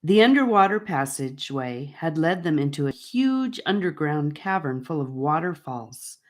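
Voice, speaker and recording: A woman narrating a fantasy audiobook in a measured, deliberate storybook style. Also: sits low.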